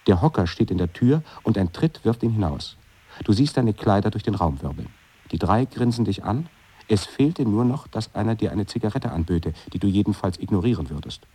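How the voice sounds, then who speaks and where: A man's voice: lowish voice, animated, a little fast, mellow, slightly rough. A man reading scripted narration in an experimental radio play.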